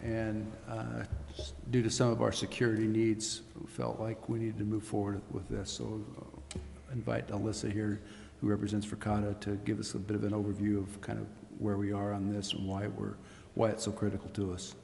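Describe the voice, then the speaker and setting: A man with a low, gravelly voice introduces a guest at a council meeting. He speaks formally and in a measured way, with ums between phrases. The sound is roomy, with a long echo from the chamber and faint noise behind it. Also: completely flat delivery, dark tone.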